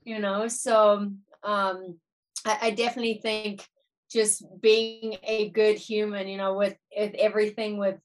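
A woman's voice: medium pitch, flat delivery, unhurried, low-quality recording. A woman in her thirties from South Africa, her accent partly Americanized, talking easily as a musician guest. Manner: easygoing, thoughtful, uses "like" often. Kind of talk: casual interview chat.

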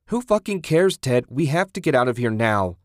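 A man's voice: mid-range voice, big pitch swings, normal speed, no echo, clean studio sound, voice pushed up, close to an everyday voice. A man voicing a terrified, angry woman in a horror audiobook, frantic and exclaiming. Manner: shouting, moaning, frantic. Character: terrified, angry woman stranded in wrecked car.

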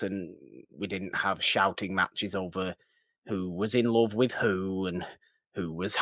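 A man's voice: lowish voice, a bit bright, no echo, studio quality. A man co-hosting a casual film discussion, rambling a little and searching for words as he goes. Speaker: podcast co-host discussing films.

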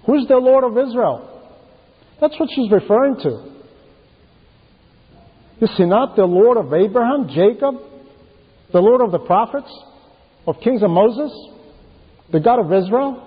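Male preacher, higher-pitched voice, unhurried emphatic sermon delivery, muffled sound with long reverb. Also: expressive, husky. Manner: emphatic, deliberate, repeats key phrases for emphasis. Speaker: preacher reading a translated homily.

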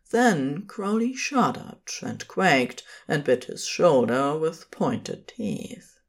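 Casting: female fiction narrator with a deep register, measured phrasing and widely varied intonation.

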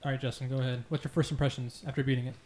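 Male host for casual podcast banter, playfully grandiose, mid-pitched, recorded in a roomy space. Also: level tone, very fast, a little mellow. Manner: mock-grandiose, playful introductions. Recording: echoey room, faint noise.

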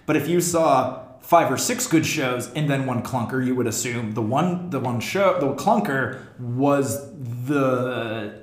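A man's voice: mid-range voice, unhurried. A man hosting a podcast, talking casually in a panel discussion. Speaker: podcast host. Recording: echoey room sound.

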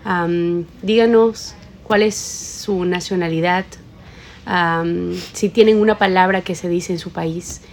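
A woman's voice: lowish voice, slow pace, slight background noise. Ecuadorian woman hosting a casual lunch podcast. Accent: Ecuadorian Spanish. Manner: relaxed, mixes in English words. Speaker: podcast co-host. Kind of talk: casual chat. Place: kitchen break room.

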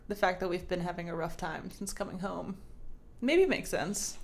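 A woman's voice: fairly low pitch, moderate pace. A woman co-hosting a casual podcast, answering her co-host with teasing quips.

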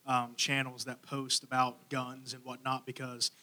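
A man chatting casually and excitably as a jokey participant in a group podcast. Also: mid-range voice, measured pace.

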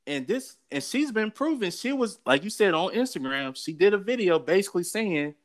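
A man's voice: high-pitched, big pitch swings, normal speed. An American man co-hosting a casual sports talk show, giving opinions in an emphatic, colloquial way and repeating phrases for effect.

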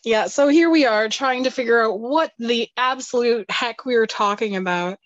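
A woman's voice: a little high, normal speed, dry recording, dull audio. A woman co-hosting a podcast in casual chat, joking drowsily about herself. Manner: self-deprecating, drowsy joking. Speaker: podcast co-host.

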